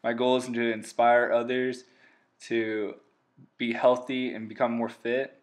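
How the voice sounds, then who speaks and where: Young man, 20s, steady flat intonation, earnest co-host speaking to viewers. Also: medium pitch, measured pace. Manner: earnest, upbeat, addresses viewers as guys. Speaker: channel co-host. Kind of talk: casual voice-over narration.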